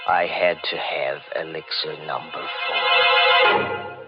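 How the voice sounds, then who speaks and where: An actor playing an obsessed, furtive young chemistry teacher in a 1940s radio mystery, intense and given to nervous stammering. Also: male voice, extremely low pitch, steady tone, takes it slowly. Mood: hushed, obsessed. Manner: brooding, intense narration, nervous stammering in dialogue. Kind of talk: 1940s radio mystery acting, first-person narration and dialogue. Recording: muffled 1940s radio recording with music.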